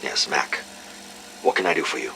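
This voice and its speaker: An actor playing a weary, embittered and guarded military intelligence officer in an audio drama. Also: male voice, normal speed, bright, forceful tone, a little hiss or hum, natural voice, rough recording.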